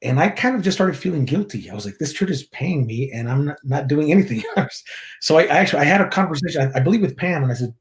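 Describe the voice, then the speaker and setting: A man's voice: fairly high pitch, animated, quick pace. A man from Mexico who leads a church's contemporary worship, chatting easily as an interview guest, laughing often and restarting his sentences. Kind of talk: casual interview chat.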